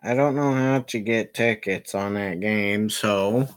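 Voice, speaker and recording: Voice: medium pitch, takes it slowly, noisy background. A game streamer giving casual, self-directed commentary while playing, muttering and often swearing. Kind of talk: casual livestream gameplay commentary.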